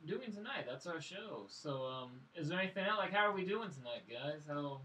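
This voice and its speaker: A man's voice: a little high, animated, brisk, sharp tone, distant mic. A young man hosting a podcast in casual group chat. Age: young adult. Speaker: podcast host. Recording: roomy echo, off-mic.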